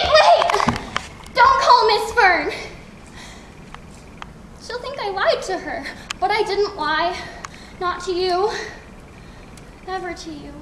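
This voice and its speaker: A young woman playing a defiant, scheming little girl who wheedles her mother, in a stage monologue. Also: extremely high pitch. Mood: coaxing. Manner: childish, wheedling. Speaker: student actor. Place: theatre workshop performance space. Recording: echoey room from far off, hissy noise.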